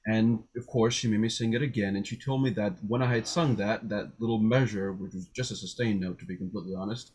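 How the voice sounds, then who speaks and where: A man's voice: on the low side, fairly even intonation, quick pace, few pauses, a little mellow, slightly rough. A man telling an anecdote in casual group talk, earnest, wordy and explanatory as he goes. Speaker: voice student, podcast guest.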